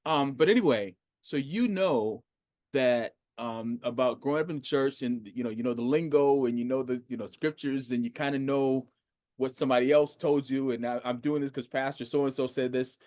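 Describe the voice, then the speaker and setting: This is a man in his fifties, an American, hosting an interview with easy, loose talk. He often restarts his sentences and fills pauses with "um" and "you know." His pitch sits in a middle range, and the recording is dry and close. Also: dry recording. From United States. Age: 50s. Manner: animated, conversational, lots of fillers and restarts. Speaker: podcast host. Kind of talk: casual interview.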